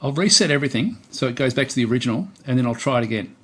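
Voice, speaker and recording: A man giving a casual, unscripted software tutorial, hesitant and thinking aloud as he presents. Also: medium pitch, animated, very fast, slightly rough.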